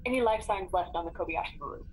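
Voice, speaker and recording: A woman's voice: mid-range voice, quick pace, rough recording. A woman chatting casually and decisively as a player in a tabletop role-playing game. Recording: clear background noise.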